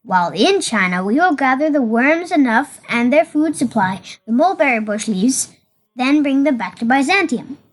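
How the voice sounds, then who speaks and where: High-pitched, earnest monk played by a woman. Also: sing-song, moderate pace. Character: earnest, respectful male monk petitioning a ruler. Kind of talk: amateur audio play acting.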